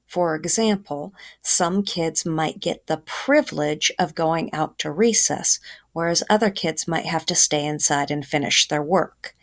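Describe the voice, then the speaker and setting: A woman's voice: deep voice, unhurried. A woman teacher giving children a reading lesson, explaining simply and instructively.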